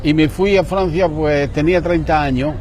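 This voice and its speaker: A man's voice: on the high side, fairly even intonation, normal speed, darkish tone, a little hiss or hum. An older man from Spain, a returned emigrant, telling rambling, indignant stories in casual street chat outdoors.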